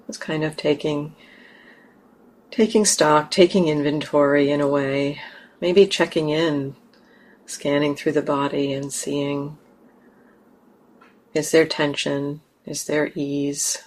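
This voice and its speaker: A woman teaching a guided meditation in a gentle, unhurried way with long pauses. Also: low-pitched, fairly even intonation, a little hiss or hum.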